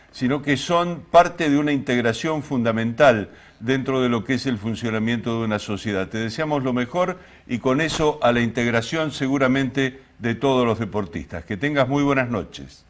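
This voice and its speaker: A man's voice: medium pitch, moderate pace, few pauses. A man with an Argentine accent hosting an interview show in formal, winding, solemn phrases. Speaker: host.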